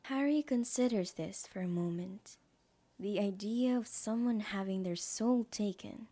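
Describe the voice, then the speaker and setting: A woman's voice: mid-range voice, measured pace, warm tone. A woman reading scripted story narration as the narrator of an audio drama.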